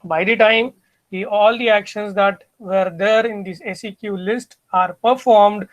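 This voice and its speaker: A man's voice: sits high, a bit bright, no room sound, poor audio. A middle-aged man with an Indian English accent giving an online lecture, explaining step by step and often restarting his phrases. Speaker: university lecturer. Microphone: computer mic on video call.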